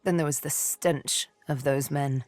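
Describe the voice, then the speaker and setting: Low, slow, haunted elven woman. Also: steady tone, a little mellow, dry recording. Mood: haunted, pained. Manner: theatrical, deliberate, poetic phrasing. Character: haunted, wistful young elven woman, escaped slave turned adventurer. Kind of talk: dramatic video game dialogue, intimate storytelling.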